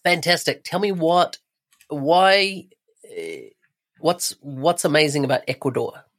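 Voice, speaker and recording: A man's voice: on the high side, lively intonation, slow pace, fairly bright tone. A man hosting a podcast interview, introducing and questioning in a conversational way. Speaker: podcast host.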